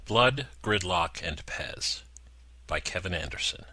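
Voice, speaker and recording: A man narrating a horror story in a slow, deliberate way with dramatic pauses. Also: low-pitched, lively intonation, bright, forceful tone, no echo, soft background music.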